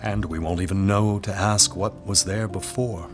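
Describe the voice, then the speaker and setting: Give a brooding young aristocrat, acted by a man, guarded and melancholic. His low voice is grave and formal in short, terse lines, with faint gothic drama music beneath. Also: steady tone, normal speed, close to an everyday voice. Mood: mournful. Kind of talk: gothic audio drama acting.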